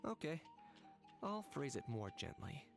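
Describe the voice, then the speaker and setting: A man's voice: fairly high pitch, sing-song, unhurried, vocal fry, music in the background, exaggerated voice. A man voicing a cool, blunt young warrior in a video game, speaking in a direct, unruffled, matter-of-fact way. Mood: deadpan. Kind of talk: video game character dialogue.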